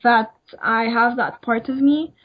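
A woman's voice: fairly high pitch, fairly even intonation, a little slow. A young woman, an emerging designer from Egypt, answering interview questions in a hesitant way with many fillers. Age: young adult. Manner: hesitant, many ums and likes. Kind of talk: casual interview. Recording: dull lo-fi sound.

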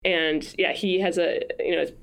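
A woman's voice: fairly low pitch, steady tone, normal speed, gravelly, smooth. A woman from France, a podcast guest, talking through her background in a thoughtful way, with many restarts. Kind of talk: casual conversational interview.

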